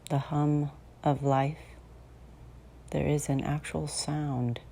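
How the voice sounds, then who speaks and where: A woman leading a guided meditation in a soothing, unhurried manner with long pauses, her soft voice sometimes dropping to near a whisper. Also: sits low, flat delivery, gravelly. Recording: faint background noise and ambience.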